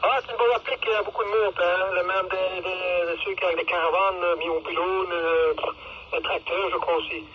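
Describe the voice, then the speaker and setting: A man's voice: high voice, fairly even intonation, normal speed. A man with a Belgian French accent chatting casually over two-way radio in a rambling, hesitant way, repeating himself. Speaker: amateur two-way radio user. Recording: dull lo-fi two-way radio, clear noise, distant.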